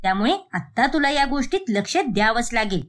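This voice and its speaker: Woman voicing cartoon mother, middle-aged, high-pitched, very lively intonation, scolding, exasperated, nagging. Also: brisk. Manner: sharp, nagging, berating. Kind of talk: animated moral story dialogue.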